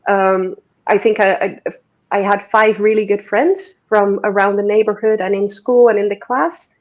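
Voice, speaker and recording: A woman's voice: mid-range voice, level tone, moderate pace, dull audio. A woman with a Dutch accent, chatting as an interview guest, rambling with many fillers and restarts. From Netherlands. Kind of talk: casual interview conversation.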